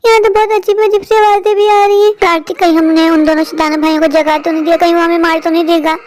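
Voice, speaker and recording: A woman's voice: very high-pitched, level tone, fast talker, darkish tone. A woman voicing a timid young runaway bride in a cartoon story, fretful and pleading, with a childlike voice. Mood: anxious.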